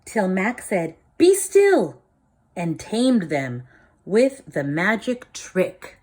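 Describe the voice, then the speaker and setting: A woman reading a picture book aloud, expressive, dropping low and soft for dramatic lines. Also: takes it slowly. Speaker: storybook reader.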